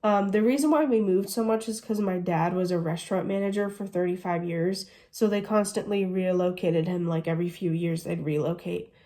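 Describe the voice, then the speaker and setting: Chatty twenty-something woman doing a q&a vlog, mellow warm voice, gushes a lot. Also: on the low side, fairly even intonation, a little fast, few pauses. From United States. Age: 20s. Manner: chatty, gushing, says "literally" often. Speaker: vlogger. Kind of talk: casual Q&A vlog.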